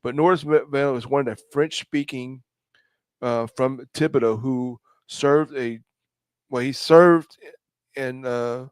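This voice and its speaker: A man telling stories casually on a podcast, often halting and searching for his words. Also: mid-range voice, steady tone, clean tone, no echo. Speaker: podcast co-host. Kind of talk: casual storytelling on a live podcast.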